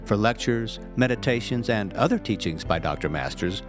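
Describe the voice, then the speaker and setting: A man reading a scripted inspirational message as a reverend, in a measured, earnest and carefully phrased way. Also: on the low side, animated. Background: background music.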